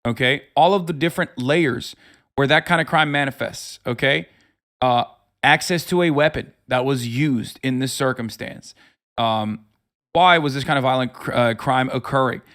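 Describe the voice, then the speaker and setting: A man's voice: medium pitch, normal speed, a bit bright. A man giving unscripted live political commentary as a streamer, swearing freely and speaking in an emphatic, mocking way.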